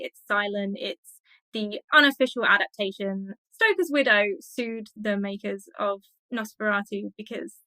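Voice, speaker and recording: A woman's voice: mid-range voice, bright, forceful tone, no echo, low-quality recording. A woman speaking as a scholarly interview guest, explaining in an academic, emphatic way.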